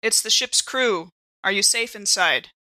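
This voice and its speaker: A woman's voice: medium pitch, measured pace, sharp tone. A woman playing a tabletop role-playing game, asking questions casually and speaking for her character in her own voice.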